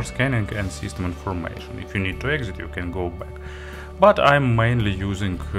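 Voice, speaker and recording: Low-pitched man reviewing gadgets, lively intonation. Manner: halting, item-by-item delivery with non-native phrasing. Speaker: audio gear reviewer. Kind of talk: product review, walking through features.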